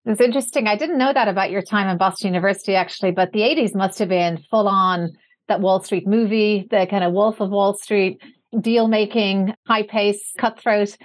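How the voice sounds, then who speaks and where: A woman hosting an interview podcast, reading a polished introduction before moving into her questions. Her delivery is clear and measured, with a mid-range voice. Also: medium pitch, steady tone. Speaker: podcast host.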